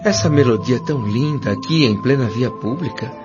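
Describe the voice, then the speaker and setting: A man's voice: medium pitch, normal speed, close to an everyday voice. A Brazilian man playing an earnest, curious newcomer to the spirit world in an audio drama, speaking politely and with wonder. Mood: awed, moved. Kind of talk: audio drama acting with first-person narration. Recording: dull lo-fi audio, music clearly behind.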